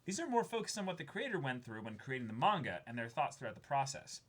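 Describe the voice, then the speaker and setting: A man's voice: medium pitch, big pitch swings, fast, fairly bright tone, slightly rough. A young man co-hosting a show, reading fan letters aloud and breaking off with enthusiastic, chatty asides. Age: young adult. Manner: enthusiastic, interjects reactions while reading. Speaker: co-host of a reaction and unboxing show. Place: small indoor room, seated beside co-host.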